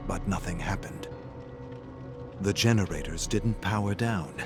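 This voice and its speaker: A man's voice: deep voice, a little slow, over music. A man reading scripted horror fiction as a narrator, in a dramatic, suspenseful style.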